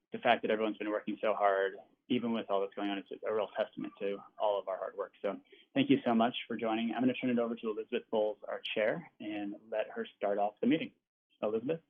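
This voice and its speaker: A man opening a committee meeting as its organizer, polite and helpful, with casual fillers. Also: lowish voice. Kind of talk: formal meeting introduction. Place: remote conference call. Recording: tinny conference call line.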